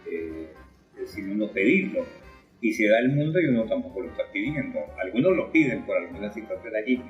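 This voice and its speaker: Older man, halting, reflective. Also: fairly low pitch, big pitch swings, dull audio. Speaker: poet being interviewed. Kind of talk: reflective interview talk. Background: music.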